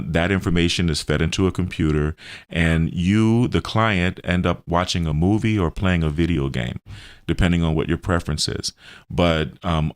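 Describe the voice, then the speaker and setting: Very deep-voiced American man explaining, dry studio. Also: dry recording. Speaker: radio show host and health center owner. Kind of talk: explanatory radio health talk.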